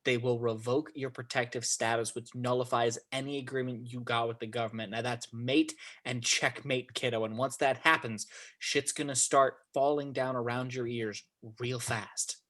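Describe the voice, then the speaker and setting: A man's voice: mid-range voice, little pitch movement, normal speed, hardly any pauses, a bit bright, lightly acted. A man playing a weary, beaten-down agent pleading with his client, wordy and profane, in an amateur script read. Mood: firm warning.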